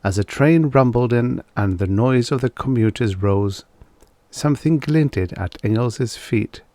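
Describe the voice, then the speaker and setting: A man reading a story aloud as an audiobook narrator, in a measured, deliberate manner. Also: mid-range voice, warm tone, dry recording.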